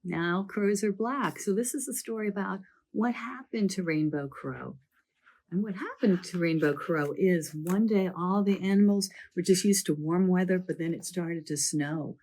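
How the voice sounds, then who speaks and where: Croaky woman librarian with a cold. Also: on the low side, normal speed, low-quality recording. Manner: chatty, lively storytelling delivery. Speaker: children's librarian. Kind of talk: presenting a library program and summarizing books.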